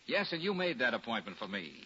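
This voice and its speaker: Wry 1940s radio gumshoe: confident, sharp-edged, lively delivery, dull vintage sound. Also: male voice, mid-range voice, fast. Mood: accusing, firm. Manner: wry, explanatory. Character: confident, wry male private detective. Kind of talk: 1940s radio drama acting. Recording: muffled, noisy 1940s radio recording.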